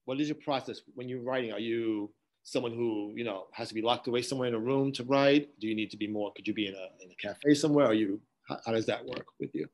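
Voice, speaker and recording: A male podcast host asks his questions in a curious, rambling way. He talks quickly in a mid-range voice. His tone stays casual and conversational throughout. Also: mid-range voice, a little mellow, a little hiss or hum, low-quality recording. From Haitian family background.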